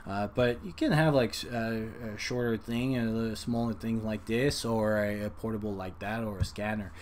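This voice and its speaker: Halting, rambling man over background noise. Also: fairly low pitch, fairly even intonation, darkish tone. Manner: halting, rambling, with frequent hesitations. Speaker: hobbyist video presenter. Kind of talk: casual unscripted explanation.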